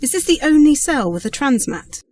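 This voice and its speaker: A woman's voice: sits high, big pitch swings, moderate pace, darkish tone. A woman playing an eager, clever, trusting young companion in an audio drama, earnest and inquisitive. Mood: curious, earnest.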